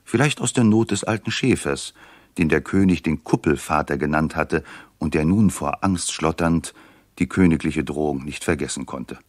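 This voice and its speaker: A man acting as the wry, knowing narrator of a 1960s German radio play, in a measured storytelling style. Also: very deep voice, dry recording, studio quality.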